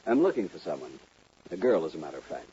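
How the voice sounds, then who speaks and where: An actor playing a stiff, demanding gentleman from the East in a 1950s radio western, formal and impatient. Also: sits low, very animated, quick pace. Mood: urgent, haughty. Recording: muffled vintage radio recording.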